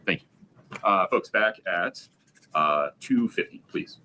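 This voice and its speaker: A man presiding as judge in a courtroom, questioning lawyers firmly and briskly. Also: sits low, lively intonation, bright, forceful tone, slight background noise. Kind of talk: courtroom proceedings.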